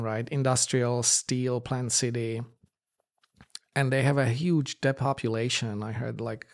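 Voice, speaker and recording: A man's voice: mid-range voice, gravelly. A man with a Ukrainian accent hosting a casual interview, with loose grammar and constant "yeah" backchannels. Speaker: host.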